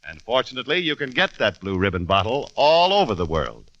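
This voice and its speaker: A man's voice: medium pitch, big pitch swings, fairly quick, fairly bright tone, no room sound, highs cut off. A man announcing in a 1940s radio broadcast, reading a commercial in an emphatic, salesy way.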